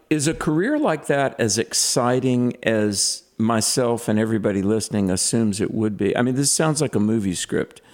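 An earnest man sitting in as a talk radio host, asking a guest questions with plenty of ums and uhs. He speaks into a studio microphone at an ordinary middle pitch. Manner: earnest, with frequent fillers.

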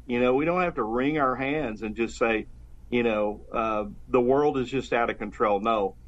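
A man's voice: mid-range voice, normal speed. A pastor speaking earnestly and fervently about faith in a casual conversation, often restarting his sentences. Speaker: pastor, guest. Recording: dull, lo-fi sound.

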